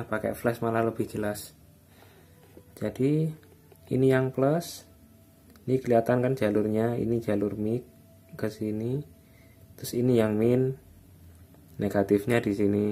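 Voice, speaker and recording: A man narrates a casual hands-on tutorial in an informal, unscripted, halting way, recorded indoors at a workbench. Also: lowish voice, mellow. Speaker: electronics repair hobbyist giving a tutorial. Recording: background noise, phone or camera microphone.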